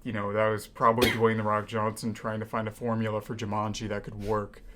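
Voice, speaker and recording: A young man co-hosting a student podcast, talking casually about movies with animated, hyperbolic reactions. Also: fairly low pitch, a little fast. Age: young adult. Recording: noticeable background room noise.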